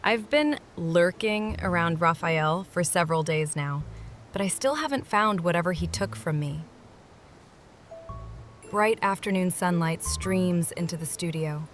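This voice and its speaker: A woman's voice: fairly low pitch, expressive, unhurried, soft background music. A woman reading a scripted first-person game story, moving between narration and dialogue. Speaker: voice-over reader of a game story.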